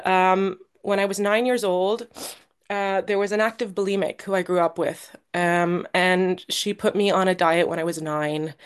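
A woman's voice: mid-range voice, smooth. Nervous woman sharing her story. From Canada. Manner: halting and earnest, with many pauses and restarts. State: a little nervous. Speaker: guest speaker at a recovery meeting. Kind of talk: personal recovery share.

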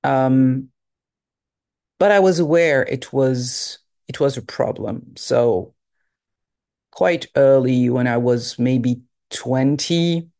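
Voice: medium pitch, takes it slowly, mellow. A speaker in their fifties with a French accent, a native French speaker, giving a candid and reflective personal testimony. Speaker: recovery group member.

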